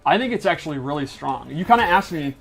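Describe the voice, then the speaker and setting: A man's voice: fairly high pitch, lively intonation, quick pace, gravelly, breathy edge. A man who works as a game developer, giving a casual, enthusiastic walkthrough of gameplay mechanics. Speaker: game developer demonstrating a fighting game character. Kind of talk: casual explanatory gameplay commentary.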